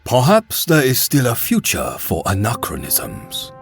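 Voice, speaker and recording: A man playing a dignified, reflective samurai archer in a game fan dub, formal and deliberate. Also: medium pitch, wildly swooping pitch, vocal fry, full character voice. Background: music.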